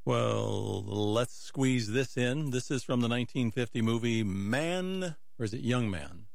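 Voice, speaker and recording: A man hosting a music radio show, casually announcing old records in an unhurried, musing way as if thinking aloud. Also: mid-range voice, expressive, creaky, darkish tone, no room sound, clean studio sound.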